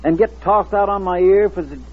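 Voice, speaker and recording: A man's voice: high-pitched, brisk. An actor playing a chatty, joking straight man in a 1940s radio advertising skit. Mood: bantering. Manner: bantering. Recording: tinny vintage radio, faint music.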